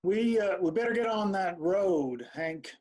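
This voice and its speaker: Shifty country band manager, nervy, high male voice, acted at a table read. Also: animated, measured pace, darkish tone. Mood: uneasy.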